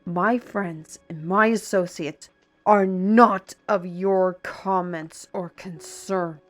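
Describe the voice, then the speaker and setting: A woman playing a proud, fiery, defiant artisan steward in a fantasy audio roleplay, formal and deliberate. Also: on the low side, soft background music. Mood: indignant.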